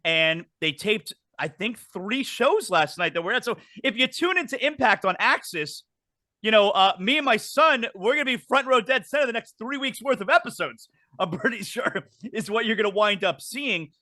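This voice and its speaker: A man's voice: high-pitched, animated, moderate pace, bright, forceful tone, dry recording. A middle-aged man hosting a casual wrestling talk show, chatty and prone to repeating words as he restarts sentences. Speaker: podcast host.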